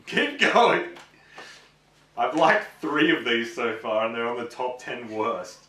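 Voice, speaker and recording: A man's voice: medium pitch, big pitch swings, slow pace, sharp tone, slight background noise. A man bantering casually as a gaming show co-host, blunt and slangy in an offhand way. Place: echoey indoor room, voice away from mic. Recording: echoey room, distant mic.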